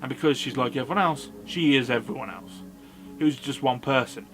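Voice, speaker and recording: A man's voice: mid-range voice, brisk, fairly bright tone. A man giving a casual movie review as a video host, in a blunt, sweary, informal manner. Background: background music.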